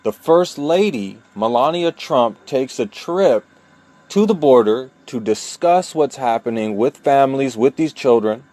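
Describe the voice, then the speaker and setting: A man from New York speaking a personal monologue, deliberate and emphatic, with long pauses and repeated key points. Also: mid-range voice, expressive, dark tone. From New York, USA. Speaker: vlogger telling his own story.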